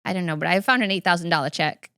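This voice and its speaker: Brisk, smooth-voiced woman organizer. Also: fairly low pitch, bright, forceful tone, no echo, clean studio sound. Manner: upbeat, laughs easily. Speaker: professional organizer, guest. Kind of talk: interview conversation.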